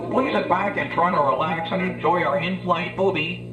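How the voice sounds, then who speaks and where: A man voicing a macho, unflappable airline captain making deadpan announcements over a plane PA in a stand-up bit. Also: high voice, normal speed. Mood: calm deadpan. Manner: drawling, hesitant, deadpan reassurance. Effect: plane PA speaker. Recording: echoey live stage, distant muffled mic.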